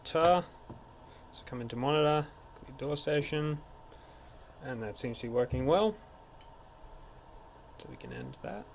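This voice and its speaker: A man's voice: mid-range voice, big pitch swings, a little slow, long pauses. A man narrating a hands-on technical tutorial in an informal, step-by-step way. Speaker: tech support instructor. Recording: clear background noise.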